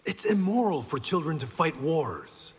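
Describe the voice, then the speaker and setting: A man's voice: a little high, big pitch swings, measured pace, breathy edge, big-room sound, full character voice. An actor playing a stoic blind swordsman hero in a video game, with dry, confident wit. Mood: wry.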